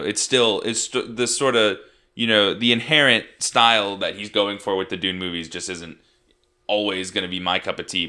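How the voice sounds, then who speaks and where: A young man co-hosting a movie review chat, rambling with restarts and fillers, with a tired, gravelly voice. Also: fairly low pitch, bright, forceful tone. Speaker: podcast co-host.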